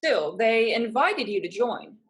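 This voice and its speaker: Woman acting sharp, streetwise young woman, higher voice. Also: expressive, a little slow. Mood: encouraging, firm. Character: sharp, proud, streetwise young woman, protective of her sister. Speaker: actor in a staged reading. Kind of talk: stage play reading.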